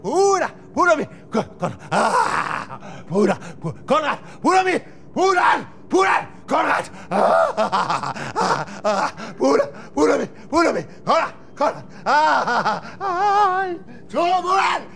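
A raving, obsessive figure in an experimental radio play. The voice is extremely high and frantic, stammering and chanting the same words compulsively. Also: male voice, very animated, slow, gravelly, pauses often, fairly bright tone, shouting, slight background noise, full character voice. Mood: frantic, hysterical. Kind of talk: avant-garde literary radio drama acting.

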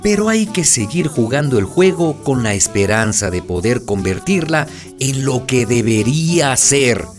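A chatty wry neighborhood narrator in a radio play, voice goes up and down, music behind. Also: male voice, mid-range voice, very animated, a little slow. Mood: wry, sincere. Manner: wry, colloquial. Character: wry, gossipy male neighborhood storyteller. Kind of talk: conversational radio drama narration.

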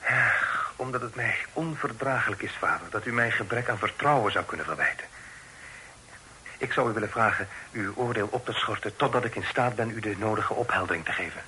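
An actor playing an earnest, well-spoken young son in a radio play, speaking formally and politely. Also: male voice, on the low side, a little fast, pushed and bright, poor audio. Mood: earnest, uneasy. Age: young adult. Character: earnest, respectful young son with a burdening secret. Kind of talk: Dutch radio play acting. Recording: hissy old radio recording.

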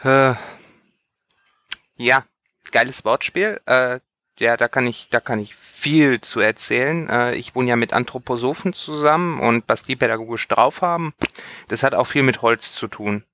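A man with a bright, sharp-edged voice of middle pitch, cracking deadpan jokes as a podcast co-host. The sound is dry and close, with a dull lo-fi tone. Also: measured pace, no room sound. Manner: deadpan, joking. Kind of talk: casual podcast chat.

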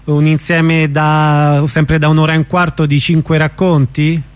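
A male radio host with a slightly high voice conducts an informal interview. He pauses and repeats words as he works out how to put each question. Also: flat delivery, clean tone, no echo.

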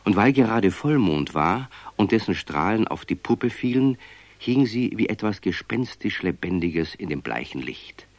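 A man narrating a children's radio play as an expressive storyteller, reading from a script. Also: on the low side, unhurried, no room sound, heavily acted.

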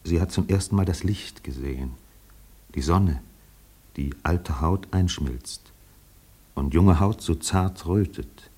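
Low-voiced solemn radio play narrator. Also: male voice, slow, pauses often, mellow, breathy edge, heavily acted. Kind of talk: scripted literary narration.

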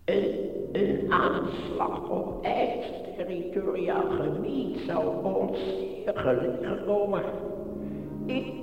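An actor playing a cold, scheming member of a futuristic ruling council in a radio drama, speaking formally and calculatingly. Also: male voice, high voice, animated, takes it slowly, creaky, lo-fi sound, full character voice. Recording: cavernous echo, distant, dulled, music underneath.

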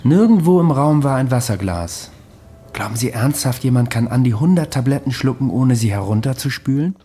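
An actor playing an insistent, cynical investigator in a radio drama, matter-of-fact and sceptical. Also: male voice, mid-range voice, expressive, moderate pace, mellow, slight background noise, close to an everyday voice. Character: insistent, cynical male investigator, self-justifying.

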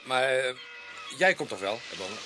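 A man's voice: medium pitch, very animated, moderate pace, music in the background, natural voice. An actor playing a chatty, cheeky policeman in a radio drama. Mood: jovial, teasing. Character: chatty, cheeky male policeman, keen to celebrate.

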